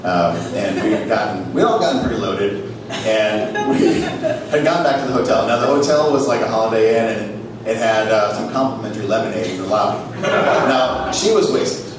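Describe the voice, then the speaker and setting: A man's voice: lowish voice, moderate pace. A man hosting a live comedy show, reading aloud and telling stories with a wry manner. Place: live on stage in a small theater. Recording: echoey theater, distant mic, noisy.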